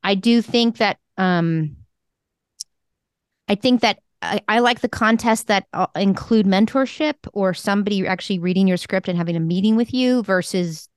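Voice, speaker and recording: A woman's voice: medium pitch, moderate pace, clear, resonant tone, dry recording, studio quality. A woman co-hosting a talk show, giving frank, emphatic advice to emerging writers in a casual conversational way. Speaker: podcast co-host and screenwriting mentor.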